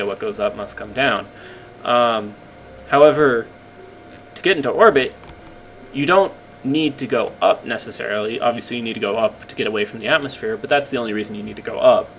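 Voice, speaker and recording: A man with a slightly croaky voice casually commenting on his gameplay, rambling and poking fun at himself as he explains. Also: mid-range voice, fairly bright tone, slight background noise, rough recording. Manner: rambling, self-deprecating, explains as he plays.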